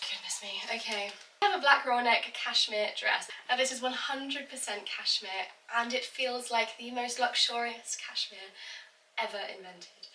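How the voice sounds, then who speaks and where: A woman's voice: a little high, pushed and bright, slight background noise. A woman, still sounding stuffy from a cold, chatting effusively while unboxing sponsored clothes for her vlog. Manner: gushing, effusive. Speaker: vlogger. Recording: echoey room, voice from a distance.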